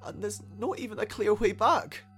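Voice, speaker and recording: A man's voice: high voice, normal speed, a bit bright, husky, faint music behind, pitched above the performer's own voice. A man voicing an earnest, chatty, easily upset young unicorn in a story, speaking with feeling and some hesitation. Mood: mopey, tearful. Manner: stammering, hesitant, emotional.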